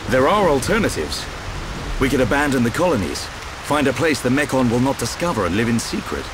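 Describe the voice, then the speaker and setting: Man acting a proud, wry swordsman, animated pitch. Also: fairly quick, background noise, close to an everyday voice. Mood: wry, probing. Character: proud, battle-hardened male swordsman, calm and confident. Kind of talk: video game cutscene acting.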